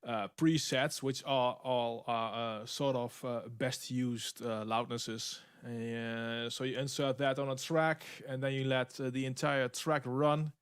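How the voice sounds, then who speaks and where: A man, a guest on a livestream from his home studio, talking casually and haltingly with many fillers. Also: medium pitch, steady tone, clean tone, dry recording. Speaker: guest producer. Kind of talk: casual chat.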